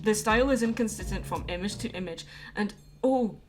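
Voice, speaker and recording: A woman's voice: medium pitch, a little fast. Earnest woman commentator over prominent music. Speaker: video commentator. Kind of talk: opinion commentary to viewers. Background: background music.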